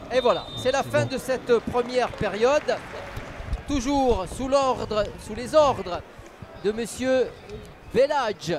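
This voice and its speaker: A man giving passionate, excited live football commentary on the radio, often breaking into shouts. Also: very high voice. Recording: stadium radio broadcast, loud crowd noise. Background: noisy football stadium crowd.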